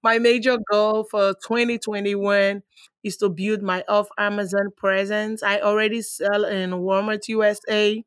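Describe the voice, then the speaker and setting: A woman guest speaking in a lively, talkative way in an interview. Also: medium pitch, no room sound. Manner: lively, talkative, quick bursts. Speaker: guest, online seller. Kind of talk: casual interview answers.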